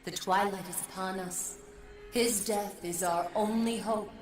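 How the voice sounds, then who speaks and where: Woman doing solemn ghost goddess in a game, scheming, measured, sounds far off and echoey. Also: medium pitch, steady tone, close to an everyday voice. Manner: measured, portentous. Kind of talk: video game cutscene acting. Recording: echoey, distant voice under loud music.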